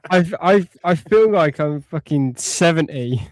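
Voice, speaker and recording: A man's voice: a little high, lively intonation, unhurried, no echo. A man in his thirties on a podcast, bantering in a crude, self-mocking, joking way. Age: 30s.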